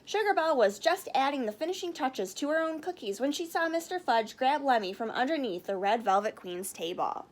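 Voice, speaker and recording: A woman reading a children's story aloud as narrator, in an expressive style. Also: high voice, fairly quick, few pauses, a little hiss or hum. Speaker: story reader.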